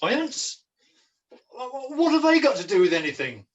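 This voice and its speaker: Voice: sits high, sing-song, normal speed, dull audio. An amateur actor playing a melancholy, gullible pantomime jester with a theatrical, declamatory delivery, recorded at home over a video call. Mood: puzzled. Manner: theatrical, declamatory, archaic wording.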